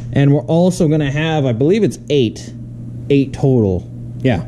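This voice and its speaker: A man calmly explaining each step of his workshop build, filmed on an action camera in a large garage. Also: mid-range voice, moderate pace, slight background noise. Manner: relaxed, explains steps as he goes. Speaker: garage builder showing a project. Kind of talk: casual how-to workshop vlog. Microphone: handheld or body-worn action camera.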